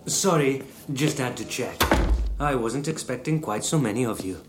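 An actor playing a formal, polite wartime officer who becomes flustered, in an audio drama. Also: male voice, mid-range voice, expressive, moderate pace, heavy background noise, natural voice.

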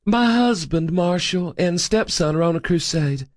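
A man voicing a gentle, hospitable woman preacher with a soothing, courteous manner. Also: high-pitched, expressive, measured pace, no echo, studio quality, pitched above the performer's own voice. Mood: soothing, modest.

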